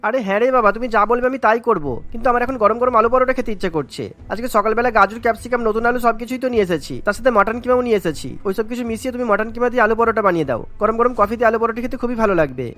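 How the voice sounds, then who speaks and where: A man's voice: very high voice, rapid-fire, few pauses, fairly bright tone. A man voicing a young husband who craves food, coaxing and wheedling in a cartoon. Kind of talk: animated cartoon story voice acting.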